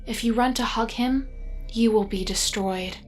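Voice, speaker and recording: A woman's voice: fairly high pitch, a little slow, close to an everyday voice. Cold female commander for audio drama, played by a woman narrator: controlled, mocking, threatening, over a music bed. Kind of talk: audio drama character dialogue in a narrated serial.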